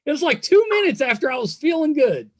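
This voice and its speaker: A man's voice: very high-pitched, sing-song, quick pace. A man in his fifties hosting a casual interview, chatty and self-deprecating as he tells anecdotes. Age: 50s. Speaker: podcast host.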